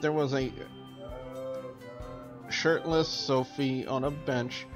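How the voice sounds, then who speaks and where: A man hosting a gaming channel, reading a visual novel aloud with casual asides. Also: mid-range voice.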